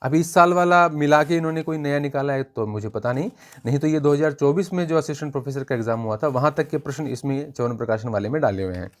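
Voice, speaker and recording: A man's voice: fairly high pitch, fast talker. A man giving an informal educational review, explaining point by point and often repeating himself. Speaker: exam-prep book reviewer.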